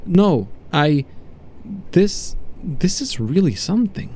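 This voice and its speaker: Man voicing an agitated, unraveling male prisoner, mellow-toned. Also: a little high, very animated, slightly rough, pitched above the performer's own voice, heavily acted. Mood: awed, shaken. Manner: agitated, halting, emphatic. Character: condemned male prisoner unraveling from irritation into awed despair. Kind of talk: dramatized audio horror story interview.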